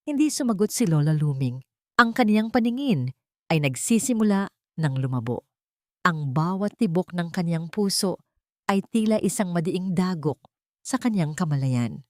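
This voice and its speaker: A woman's voice: fairly low pitch, darkish tone, dry recording, studio quality. A woman narrating a scripted melodramatic story in a dramatic storytelling style.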